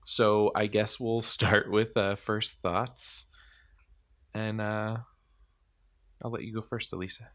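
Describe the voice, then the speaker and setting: A man's voice: on the low side, flat delivery, slow, pauses often, a bit bright. A man hosting a podcast in casual chat, rambling and hesitant with lots of fillers and restarts. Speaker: podcast host.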